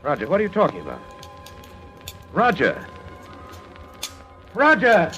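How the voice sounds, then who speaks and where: An actor playing a cold, controlling murderous doctor in an old-time radio suspense drama, speaking formally and deliberately with theatrical weight. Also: male voice, fairly high pitch, wildly swooping pitch, highs cut off. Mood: alarmed, stern. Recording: vintage radio drama, music underneath.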